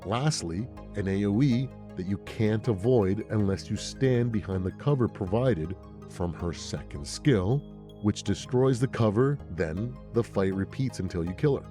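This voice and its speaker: A man's voice: on the low side, lively intonation, a little slow, dark tone. A man hosting casual, scripted gaming commentary, talking in rhetorical questions and playful asides. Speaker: gaming video creator and host. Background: background music.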